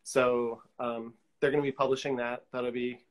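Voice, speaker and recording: Middle-aged man, mid-pitched, chatting casually. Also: little pitch movement, normal speed. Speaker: guest artist. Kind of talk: casual chat. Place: indoor room at home, on a video call.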